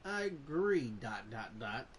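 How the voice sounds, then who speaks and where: A woman's voice: rumbling low, big pitch swings, takes it slowly. A woman gamer making casual, muttered comments while playing a video game. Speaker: gamer playing on own channel. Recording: loud game music behind.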